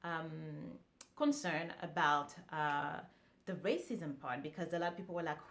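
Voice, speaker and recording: A woman's voice: deep voice, measured pace, gravelly. A woman, chatting casually to camera as a vlogger with lots of fillers and restarts. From France. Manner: relaxed, conversational, many fillers and restarts.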